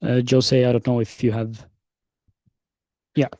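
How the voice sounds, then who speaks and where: Slow-talking man with a mellow voice. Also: mid-range voice, little pitch movement, no echo, clean studio sound. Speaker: presenter answering questions. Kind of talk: conference Q&A explanation. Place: conference session room.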